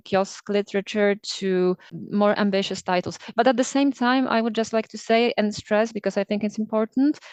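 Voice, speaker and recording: A woman's voice: mid-range voice, darkish tone, clean tone, no echo. A woman, a literary translator, answering interview questions in a thoughtful, candid way with some fillers. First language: Polish.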